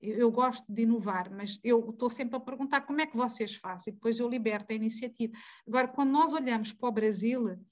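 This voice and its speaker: A woman's voice: a little high, highs cut off. A woman with a Mozambican Portuguese accent, speaking as a guest in an academic debate, digressing and correcting herself as she goes. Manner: digressive, self-correcting, asks rhetorical questions. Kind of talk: conversational academic talk.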